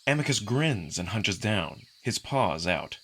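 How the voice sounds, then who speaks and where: A man's voice: lowish voice, animated, measured pace, a bit bright. A man narrating a visual novel in the first person as a dramatic story reading. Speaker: narrator and voice performer.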